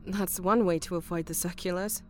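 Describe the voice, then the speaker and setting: A woman acts out a female police officer in an audiobook. She is sardonic and uneasy, with a weary dryness and a medium-pitched voice over background music. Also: expressive, a little slow, warm tone, close to an everyday voice. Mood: dry, weary. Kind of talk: audiobook character dialogue.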